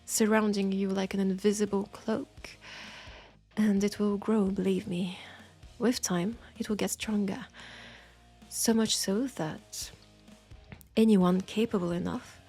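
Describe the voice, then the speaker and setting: A woman with a French accent playing a knowing, teasing witch in an intimate roleplay audio drama, with husky, breathy speech and dramatic pauses. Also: mid-range voice, steady tone, warm tone, faint music behind. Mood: knowing, mysterious. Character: knowing, teasing, compassionate witch in a secluded werewolf town.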